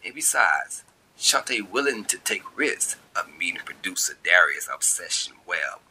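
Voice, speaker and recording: Male narrator, lowish voice, lively intonation, colloquial, expressive, scripted short-story reading. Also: unhurried, a little hiss or hum, low-quality recording. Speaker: author and narrator.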